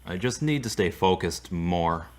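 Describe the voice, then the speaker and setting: Low-voiced, earnest male friend, acted by a man. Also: lively intonation, a little slow. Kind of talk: radio drama acting.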